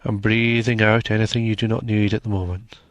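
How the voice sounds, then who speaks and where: Male meditation narrator: fairly deep, measured and soothing, unhurried phrasing, recorded dry and close. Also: little pitch movement, no echo. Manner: slow, soothing, measured with long pauses. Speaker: meditation guide.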